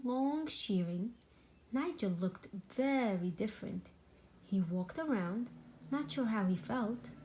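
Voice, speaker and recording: A woman reading a children's storybook aloud with deliberate, dramatic, expressive narration. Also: medium pitch, slight background noise, poor audio. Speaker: storybook reader.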